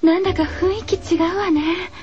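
Casting: actress for a schoolteacher heroine, high feminine voice, firm and uneasy, telling a radio ghost story. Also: female voice, fairly even intonation, normal speed, a little mellow, muffled recording. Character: female schoolteacher, firm but uneasy, narrating a horror tale. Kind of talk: radio horror drama acting.

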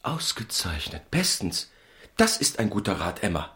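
Country doctor husband, radio drama: well-meaning, servile, earnest, fairly low register. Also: male voice, very animated, normal speed, sharp tone, full character voice. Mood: eager, insistent.

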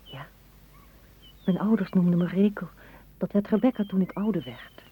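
An actress in a radio play playing a dreamy, tender woman gardener, speaking softly and poetically, often in a whisper. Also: mid-range voice, expressive, moderate pace, slightly rough. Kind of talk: radio drama acting. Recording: muffled, noisy old radio recording.

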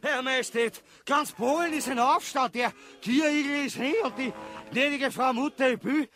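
An actor playing a young messenger lad with an Austrian accent in a farcical radio play. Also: male voice, very high-pitched, normal speed, a bit bright, faint music behind. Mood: alarmed. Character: breathless young messenger lad bringing bad news.

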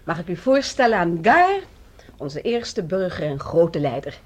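Alien second in command, husky but highish, very lively pitch, formal, radio play. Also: female voice, measured pace. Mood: formal, composed. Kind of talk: Dutch science fiction radio drama acting.

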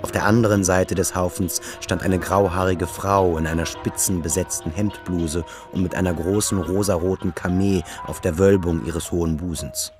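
A man's voice: low-pitched, fairly even intonation, moderate pace, few pauses, close to an everyday voice. A man narrating a literary radio drama in a sober, scripted style. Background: prominent music.

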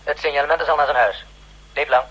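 An actor playing a hesitant field agent reporting casually over a video-phone link in a 1960s radio drama. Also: male voice, fairly high pitch, steady tone, fast, a bit bright, slightly rough. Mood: hesitant, informal. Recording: 1960s radio, faint hum, telephone-like link.

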